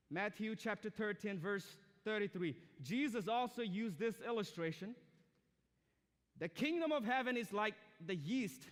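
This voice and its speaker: A man's voice: high-pitched, fairly bright tone. A young man from Myanmar preaching a sermon to a student audience, chatty and direct, asking the crowd questions. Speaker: student speaker. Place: large church or university auditorium. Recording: long hall reverb from a live auditorium.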